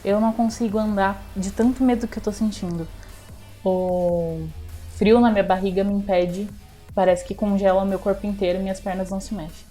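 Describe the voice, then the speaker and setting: A Brazilian woman playing a tabletop game, describing her character's actions in first person with a halting delivery. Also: medium pitch, steady tone, dark tone, faint music behind. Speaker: tabletop roleplay player. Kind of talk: first-person roleplay narration.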